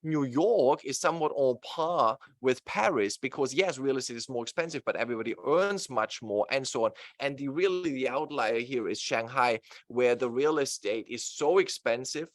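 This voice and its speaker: Talkative German-accented man, mid pitch, dry sound; expert guest walking through slides. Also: normal speed, few pauses, no echo. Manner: talkative, explanatory, many fillers. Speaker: guest real estate expert. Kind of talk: explaining slides in an interview.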